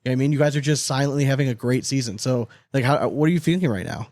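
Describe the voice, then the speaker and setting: Man, podcast host, rapid casual chat, slangy and sweary, dry close sound. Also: medium pitch, darkish tone, no room sound.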